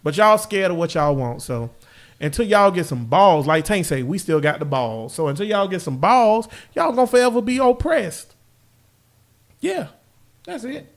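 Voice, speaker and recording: Man, high-pitched voice, podcast host, brash slangy banter, swearing freely. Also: moderate pace. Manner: brash, teasing, animated. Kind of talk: casual banter, slangy and profane.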